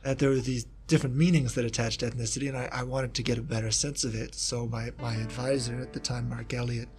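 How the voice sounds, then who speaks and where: A man's voice: mid-range voice, little pitch movement, fast talker, darkish tone, faint music behind. Thoughtful rambling man from Kentucky. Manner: thoughtful, rambling with asides and restarts. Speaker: guest researcher, historian of East Asia. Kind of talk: casual reflective interview talk.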